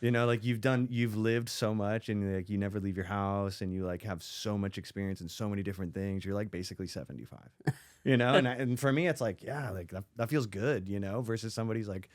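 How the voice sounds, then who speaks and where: A man's voice: fairly low pitch, fast, no echo, studio quality. A young man from Israel, a podcast guest, chatting casually and rambling with lots of "like" and "you know". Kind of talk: casual chat.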